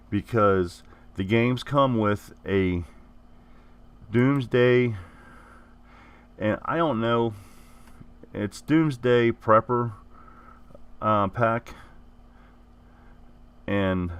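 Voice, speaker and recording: A man hosting his gaming channel who is getting over the flu, talking casually and correcting himself as he goes. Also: lowish voice. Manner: rambling, repeats and corrects himself. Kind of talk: casual giveaway announcement.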